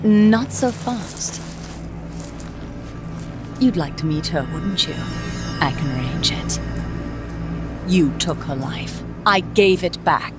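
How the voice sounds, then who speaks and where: Female scientist, game drama: haunted, sardonic, accusing, on the lower side, music underneath. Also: lively intonation, slow, long pauses. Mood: sly, cold. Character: bitter, obsessive female scientist haunted by lost love. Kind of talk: dramatic video game cutscene acting.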